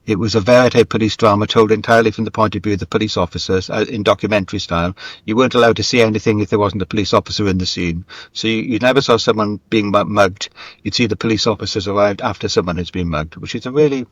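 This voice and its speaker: A man's voice: on the low side, fast talker, no echo. A middle-aged or older man, an interview guest and screenwriter, talking reflectively and conversationally about his work, often restarting his sentences. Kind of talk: conversational interview, recounting a career.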